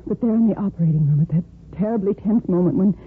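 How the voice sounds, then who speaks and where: An actress playing an exhausted, guilt-ridden young surgical nurse in a 1950s radio soap, halting and earnest. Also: female voice, medium pitch, close to an everyday voice. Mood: anguished, guilty. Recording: muffled, noisy 1950s radio recording.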